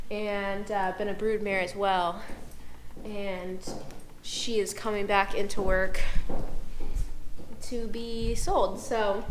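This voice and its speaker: A woman's voice: medium pitch, roomy sound, slight background noise. A woman with a head cold and a congested voice, chatting casually in a vlog while caring for horses and talking sweetly to them. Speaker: vlogger and horse rider. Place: horse barn.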